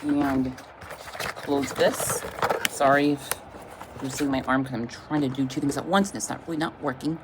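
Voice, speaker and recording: A woman giving a casual, informal unboxing demonstration as a product reviewer, speaking haltingly with vague, everyday wording. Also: very deep voice, fairly even intonation, background noise.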